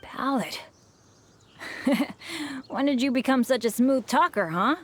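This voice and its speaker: A woman playing a soft-hearted, self-blaming young adventurer in a video game. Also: sits high, lively intonation, slow, slight background noise. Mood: touched, teasing. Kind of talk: video game cutscene acting.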